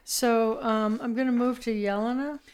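A woman's voice: a little high, fairly even intonation, moderate pace, a little mellow, smooth. A woman hosting a casual interview, talking conversationally and sometimes searching for her words. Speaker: host.

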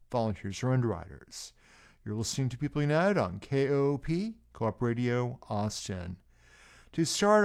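A man hosting a community radio show from the station studio. He speaks at a middle pitch, introducing his guests and reading notices in an announcer-like manner. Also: darkish tone. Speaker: radio host.

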